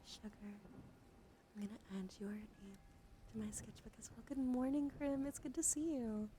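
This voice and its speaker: A woman streamer speaking softly and soothingly in an ASMR personal-attention style, often slipping into a whisper. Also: fairly high pitch, moderate pace. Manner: soft-spoken, often drops into whispering; gentle, soothing, coaxing. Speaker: live streamer host. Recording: noisy live stream with clear background noise. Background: background noise, dog outside.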